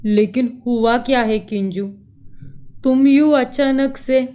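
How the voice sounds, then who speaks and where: A woman voices a bewildered, hurt husband in a cartoon family drama. The delivery is slow and reproachful, with a very soft, mellow tone. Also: high-pitched. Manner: pleading, reproachful. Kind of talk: melodramatic cartoon story acting.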